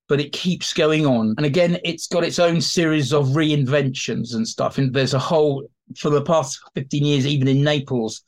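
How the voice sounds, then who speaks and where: A man speaking as an expert guest, explaining theatre history in an informal, anecdotal way. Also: fairly high pitch. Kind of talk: conversational explanation in a history interview.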